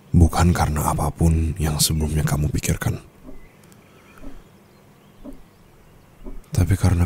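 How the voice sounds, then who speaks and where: An actor with an Indonesian accent playing a calm, teasing and tender mafia boss in an intimate ASMR roleplay, speaking softly and slowly with pauses. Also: male voice, a little hiss or hum. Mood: tender, earnest. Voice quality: very deep, creaky voice.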